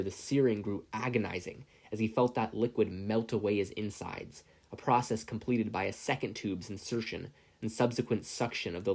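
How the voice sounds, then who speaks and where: A man's voice: on the low side, darkish tone, husky. A man reading scripted fiction aloud as a story narrator. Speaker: podfic reader.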